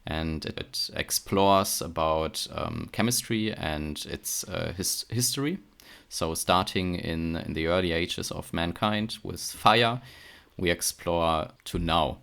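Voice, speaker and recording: Low, gravelly German-accented man. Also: slow, fairly bright tone. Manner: hesitant, many fillers and restarts. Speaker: podcast guest, chemistry PhD. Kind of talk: casual interview chat.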